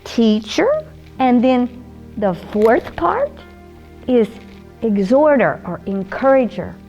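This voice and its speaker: High-pitched, slow-talking older woman storyteller. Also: sing-song, darkish tone, dull audio, soft background music. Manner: grandmotherly, sing-song, coaxing, asks the child listeners questions. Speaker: children's storyteller and host.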